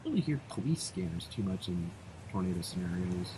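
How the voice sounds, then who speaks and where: A man's voice: deep voice, very animated, fairly quick. A man giving a casual, live running reaction as the host of a reaction video, with noise behind him.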